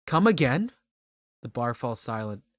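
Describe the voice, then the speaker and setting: A man's voice: mid-range voice, sing-song, a little slow, dry recording, voice pushed down. A man voicing a drunk, menacing brawler at a bar, brooding and ominous, in a mock-dramatic comedic reading. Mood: brooding menace. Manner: slurred, brooding, ominous storytelling in first person.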